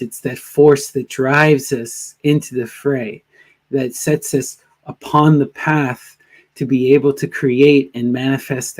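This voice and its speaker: A man hosting a live tarot stream, talking in a slow, gentle way with soft trailing endings, sniffly from allergies. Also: medium pitch. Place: home in a wooded area.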